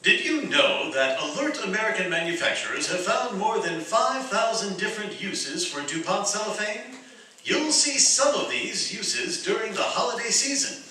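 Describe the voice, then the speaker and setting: A man's voice: high voice, sing-song, normal speed, sharp tone. A man reading a scripted advertisement in the style of a 1940s radio spokesman. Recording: very echoey hall, distant mic.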